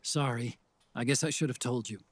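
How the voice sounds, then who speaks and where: An actor playing a mild, earnest tech expert in a video game, explaining things in an American accent. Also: male voice, medium pitch, moderate pace, close to an everyday voice. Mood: sheepish. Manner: earnest, explanatory, slightly apologetic. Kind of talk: video game cutscene acting.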